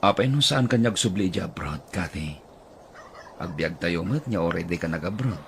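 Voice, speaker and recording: A man playing a deep-voiced calm brother. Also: moderate pace, creaky, a little hiss or hum, full character voice. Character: calm dutiful younger brother. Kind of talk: Ilocano audio drama acting.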